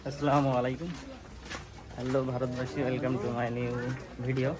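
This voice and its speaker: A man's voice: mid-range voice, level tone, a little slow, a crowd in the background. A man hosting a casual behind-the-scenes video, talking straight to viewers and often repeating his phrases. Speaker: vlog host.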